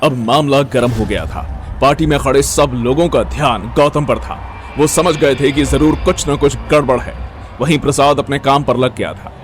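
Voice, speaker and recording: A man's voice: mid-range voice, normal speed, faint music behind. A man narrating a scripted audio story.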